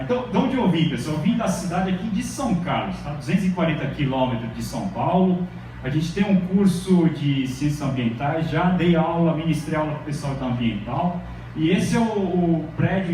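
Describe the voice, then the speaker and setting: A man's voice: a little high, darkish tone, a little hiss or hum. A middle-aged Brazilian man gives an informal university lecture. He talks in a chatty way and keeps checking in with the audience. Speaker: university professor giving a lecture. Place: large echoey lecture hall. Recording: very echoey hall from far off.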